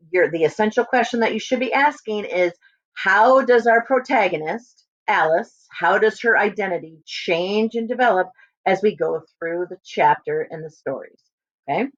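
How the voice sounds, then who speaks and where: A woman's voice: medium pitch, dry recording, highs cut off. A woman reading a children's novel aloud expressively as a teacher, speaking to students and checking in with them.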